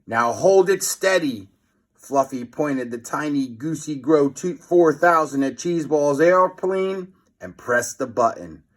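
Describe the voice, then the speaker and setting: Male storybook reader: slightly high voice, very animated, theatrical, takes his time. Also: unhurried, few pauses. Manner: theatrical, teasing asides to young listeners. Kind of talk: animated children's read-aloud narration.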